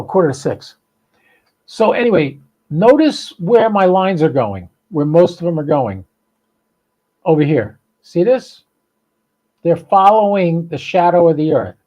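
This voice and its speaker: A man's voice: fairly high pitch, mellow, rough recording. A man hosting a hobby podcast, chatting informally and thinking aloud in a rambling live-stream style. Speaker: amateur radio podcast host.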